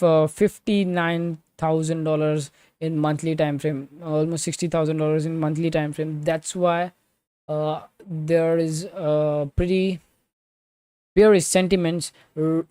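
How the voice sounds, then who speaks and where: A man from Switzerland giving live crypto market commentary and answering chat, halting with many fillers, restarts and loose grammar. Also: fairly high pitch, level tone, warm tone, smooth, no room sound. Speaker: crypto trading livestreamer.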